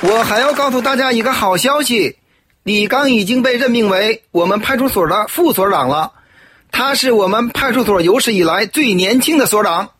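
A man's voice: high-pitched, expressive, moderate pace, over a noisy crowd, heavy background noise. A man acting a friendly, eager policeman in a radio drama, talking in a hearty, earnest way. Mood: proud announcement.

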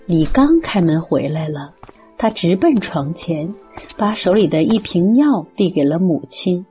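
Slow, mellow woman narrator. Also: fairly low pitch, lively intonation, faint music behind. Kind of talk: scripted radio drama narration.

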